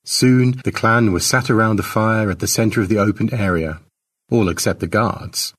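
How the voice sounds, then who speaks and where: A man narrating a short story as an expressive audiobook reading. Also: on the low side, moderate pace, recorded from a distance.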